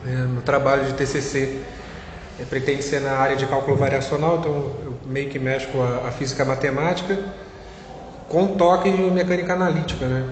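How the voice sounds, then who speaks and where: A man's voice: medium pitch, fairly even intonation, brisk. A young man with a Carioca accent, a physics student being interviewed, talking casually with many fillers and restarts. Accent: Carioca Brazilian Portuguese. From Rio de Janeiro, Brazil. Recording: echoey room with long reverb, faint noise.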